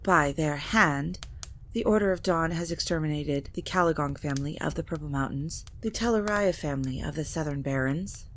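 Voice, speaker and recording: Woman playing a regal vampire mother, slightly low voice, formal, measured, commanding, audio drama, noticeable background noise. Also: natural voice. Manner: formal, measured, solemn delivery. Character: stately ancient vampire matriarch, grave and commanding. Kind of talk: scripted audio drama acting.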